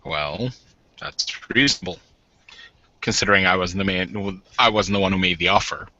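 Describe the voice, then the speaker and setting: A man's voice: low-pitched, normal speed, sharp tone, dry recording, full character voice. A man playing a blunt, teasing male werewolf warden in a tabletop role-playing game. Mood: matter-of-fact. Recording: dull lo-fi audio.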